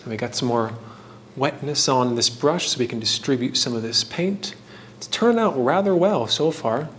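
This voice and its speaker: A man giving casual, rambling commentary as the host of a hands-on craft video, with a halting delivery that meanders and trails off between thoughts. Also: mid-range voice, animated, a bit bright, a little hiss or hum. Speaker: host of a painting and fan trivia video.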